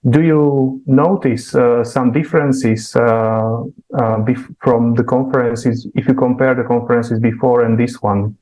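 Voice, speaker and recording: A man hosting an unscripted discussion in a hesitant, halting way. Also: mid-range voice, darkish tone, rough recording. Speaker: host.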